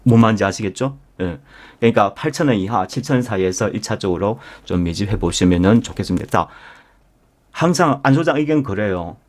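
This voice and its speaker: A man's voice: on the low side, animated, brisk, darkish tone. A man lecturing live as a stock investment instructor, emphatic, often addressing listeners directly with rhetorical questions. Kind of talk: live market lecture with membership pitch.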